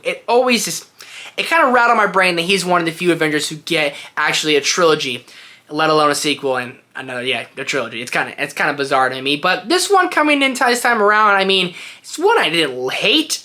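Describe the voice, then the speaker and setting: A man's voice: sits high, sharp tone. A man hosting a film review in a casual, opinionated style, rambling informally with emphatic points. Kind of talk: casual opinionated movie ranking to camera.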